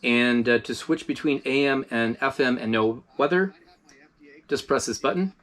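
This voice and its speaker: A man's voice: medium pitch, moderate pace. A man talks through a gadget review in a loose, easygoing way, full of ums and uhs. His voice sits in a middle register, and he sounds like he is chatting to his viewers from inside an RV. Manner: relaxed and chatty, frequent fillers. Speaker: host of a preparedness review channel. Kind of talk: casual product review.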